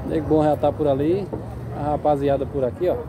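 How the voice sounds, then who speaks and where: A man's voice: a little high, steady tone, a little fast, noisy background. A man with a Northeastern Brazilian accent, vlogging from an outdoor horse fair, chatting with sellers and repeating their prices back. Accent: Northeastern Brazilian Portuguese. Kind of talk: casual on-the-spot interviewing. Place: busy outdoor livestock fair. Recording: loud crowd outdoors.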